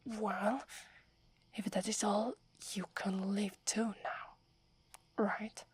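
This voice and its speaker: A haughty sixteen-year-old werewolf girl, whispered by a woman with an Italian accent, breathy and airy, right up at the mic. She drawls slowly, proud and wary, scolding in a hush like the forest is hers. The ASMR roleplay keeps every word soft and close. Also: mid-range voice, level tone, heavily acted. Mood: flustered. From Italy.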